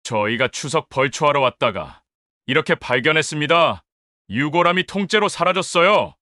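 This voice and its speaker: A rattled middle-aged dad in a radio drama, grave tone, dead dry studio sound. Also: male voice, fairly high pitch, animated, normal speed, bright, forceful tone, no room sound. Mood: troubled. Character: worried middle-aged father of the family. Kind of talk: audio drama acting.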